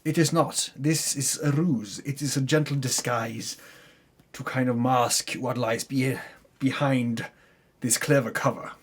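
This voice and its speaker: A man's voice: mid-range voice, steady tone, unhurried, breathy edge. A man giving a mock-solemn, theatrical dramatic reading as the storyteller of a tabletop campaign, pompous with comic self-correcting asides.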